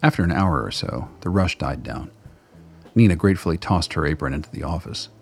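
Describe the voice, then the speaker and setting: A man's voice: very deep voice, animated, fairly quick, vocal fry, soft background music. A man reading scripted third-person narration for a radio play.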